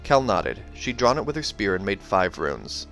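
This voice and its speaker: A man's voice: on the low side, very animated, moderate pace, a bit bright. A man reading a fantasy novel aloud as dramatic scripted narration. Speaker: audiobook reader. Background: background music.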